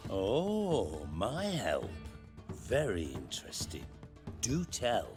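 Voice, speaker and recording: A low-voiced, blunt British spymaster. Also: male voice, huge pitch swings, drawn-out words, heavily acted. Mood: sardonic, dismissive. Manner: wry, coarse, rhetorical. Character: blunt, scheming, worldly male spymaster. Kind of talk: dramatic video game dialogue. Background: background music.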